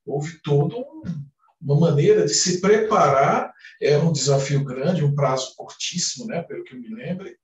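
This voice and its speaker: A man's voice: fairly high pitch, lively intonation, normal speed, far from the mic, faint music behind. A man moderating a webinar on a video call, hesitant and full of fillers. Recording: roomy sound from off mic.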